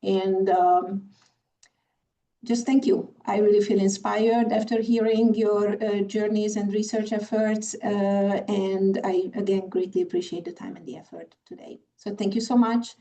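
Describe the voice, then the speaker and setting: A woman with a Hungarian accent moderates a virtual conference over a video call. Her voice is gravelly, flat and mellow, and she hesitates and apologizes often. She sits some distance from the mic. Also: medium pitch, unhurried. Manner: apologetic, hesitant, many fillers.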